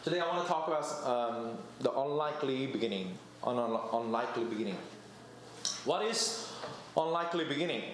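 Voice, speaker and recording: A man's voice: mid-range voice, expressive, brisk, slight background noise. A young man giving a chatty sermon as preacher, telling stories and laughing at himself. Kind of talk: conversational sermon. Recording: echoey church room.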